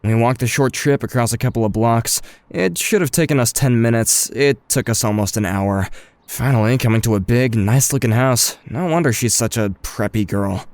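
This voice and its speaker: A man's voice: mid-range voice, normal speed, darkish tone, no room sound, lightly acted. A young man playing an earnest, awkward, wry teenage boy who narrates and talks in an audio drama. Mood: wry narrating. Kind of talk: first-person narration and dialogue in an audio drama.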